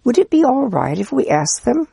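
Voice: mid-range voice, big pitch swings, moderate pace, vocal fry, warm tone, husky, no echo, clean studio sound, pitched above the performer's own voice, exaggerated voice. A reader voicing a group of polite, curious young visitors asking questions in a storybook. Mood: eager asking. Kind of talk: storybook character reading.

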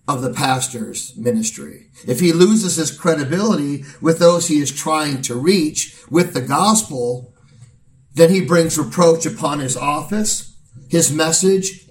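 A hoarse, gravelly-voiced man preaches to his congregation in an earnest, measured way, pausing often. He sounds a little far from the microphone. The church room around him gives a roomy echo. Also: on the high side. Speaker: pastor. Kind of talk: sermon. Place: church sanctuary. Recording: roomy sound from a distant mic.

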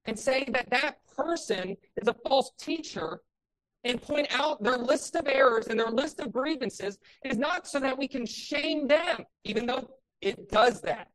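A woman pastor preaching a sermon with a loud voice, building passionately to emphatic peaks. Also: high voice, expressive, a little slow, low-quality recording.

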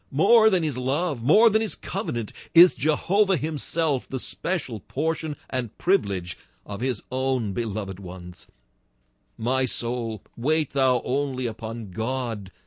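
A man preaches in a slow, measured sermon cadence. His voice swings widely, climbing on exclamations and falling to a hush. The sound is dry and close, with no room around it. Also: mid-range voice, no room sound. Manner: slow, solemn and declamatory, swelling on exclamations and dropping to hushed tones. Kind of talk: Victorian-style sermon reading.

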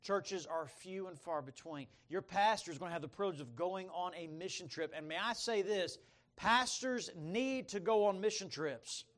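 A middle-aged man, preaching and telling his testimony in a folksy storytelling manner, swinging between loud emphasis and quiet asides. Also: sits high, normal speed. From Bahamas, raised by American missionary parents. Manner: folksy, storytelling, calls for amens. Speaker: missionary preacher. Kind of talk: sermon, personal testimony.

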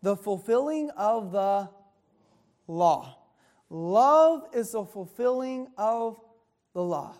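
A woman preaching a sermon, reading scripture slowly and asking the listeners to fill in the words. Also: medium pitch, expressive, darkish tone. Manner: call-and-response questions, reading scripture slowly.

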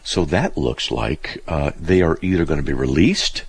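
Gravelly deep-voiced man hosting radio. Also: moderate pace. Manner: relaxed, chatty, explains scripture plainly. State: developing a chest cold. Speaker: radio call-in show host. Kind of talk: casual radio call-in talk.

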